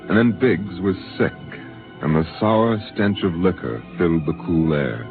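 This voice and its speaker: Deep, solemn male radio narrator. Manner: measured, solemn. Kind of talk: scripted dramatic narration. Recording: tinny 1950s radio broadcast with music.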